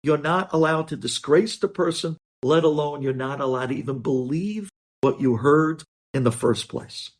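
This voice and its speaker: Emphatic rabbi teaching, slightly higher-pitched male voice. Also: moderate pace. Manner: emphatic, explanatory, repeats key phrases. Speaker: rabbi teaching religious law. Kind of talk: religious lesson with hypothetical examples.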